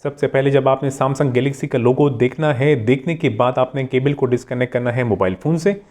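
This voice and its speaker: A man's voice: medium pitch, moderate pace, darkish tone. A man hosting a tech tutorial, narrating step by step in a polite, instructional way and repeating key steps.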